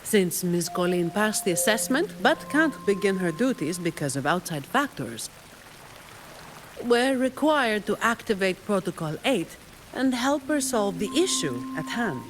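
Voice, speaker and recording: A woman's voice: medium pitch, sing-song, unhurried, slight background noise. A woman playing a composed, dutiful young employee in a video game, businesslike, matter-of-fact and resolute. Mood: formal, matter-of-fact.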